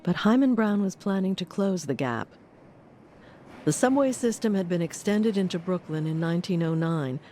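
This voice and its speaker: A woman's voice: mid-range voice, a little mellow, a little hiss or hum. A woman reading scripted narration as the host of an audio documentary.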